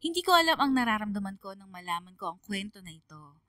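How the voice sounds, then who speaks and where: A woman's voice: medium pitch, sing-song, quick pace, dry recording, studio quality. A woman giving scripted, dramatic narration of a story for radio. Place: dry studio. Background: soft music.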